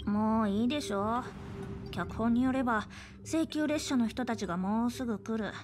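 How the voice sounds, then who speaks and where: A woman voicing an aloof, blunt young girl in an anime game, deadpan and curt. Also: on the high side, moderate pace, natural voice. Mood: aloof, impatient. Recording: background music.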